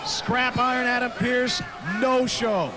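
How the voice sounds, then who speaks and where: A man calling a wrestling show who is sick with a cold and losing his voice, talking loudly over the action. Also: very high-pitched, flat delivery, slow, noisy background. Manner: loud, exclaiming over the crowd. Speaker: wrestling commentator. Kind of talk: play-by-play wrestling commentary. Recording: loud crowd and arena music.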